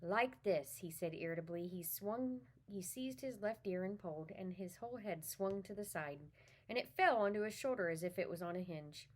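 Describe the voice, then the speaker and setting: A woman's voice: mid-range voice, animated, moderate pace. A woman reading a children's story aloud, talking to her listeners in a friendly, informal way. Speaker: read-aloud reader for children. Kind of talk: children's book read-aloud.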